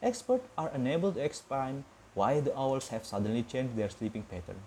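A man's voice: medium pitch, lively intonation, normal speed, mellow, a little hiss or hum, natural voice. A man doing a polished evening newsreader. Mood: newsy. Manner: polished news-anchor delivery.

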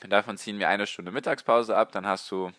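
A man hosting his own finance podcast, talking straight to the listener in a loose, rambling way, his voice a little strained and hoarse. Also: on the low side, steady tone, fairly quick, a bit bright, no echo, clean studio sound. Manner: rambling, conversational, addresses listener directly. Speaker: podcast host. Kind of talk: casual solo podcast on personal finance.

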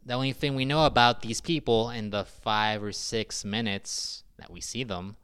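A man's voice: on the low side, fairly even intonation, moderate pace, fairly bright tone, clear, resonant tone. A man co-hosting a casual film discussion podcast, with a dry, skeptical and contrarian manner. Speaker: podcast co-host.

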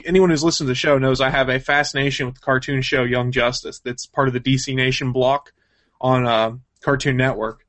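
A man's voice: mid-range voice, a bit bright. A man hosting a casual, off-the-cuff podcast, chatting informally about news and plans. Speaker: podcast host.

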